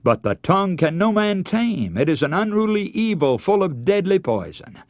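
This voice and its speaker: A man delivers a radio sermon with earnest emphasis and dramatic pauses. His voice swings widely in pitch as he presses each point. The sound is close and dry, with no room around it. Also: big pitch swings, no echo. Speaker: radio preacher.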